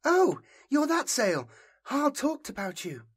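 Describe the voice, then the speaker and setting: A man voicing a nervous woman in an audiobook, brisk and brittle with guarded confidence. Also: high voice, sing-song, voice pushed up. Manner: brisk, clipped.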